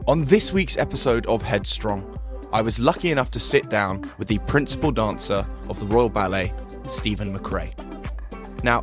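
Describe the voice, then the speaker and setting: Earnest male podcast host. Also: on the low side. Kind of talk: interview podcast hosting. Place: London.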